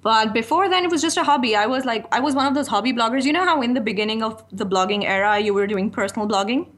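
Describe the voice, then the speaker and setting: A woman's voice: fairly high pitch, fast talker, a bit bright. Chatty woman guest. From Pakistan. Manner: chatty and candid, laughs easily, many "you know" asides. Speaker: guest, freelance writer. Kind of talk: casual interview chat.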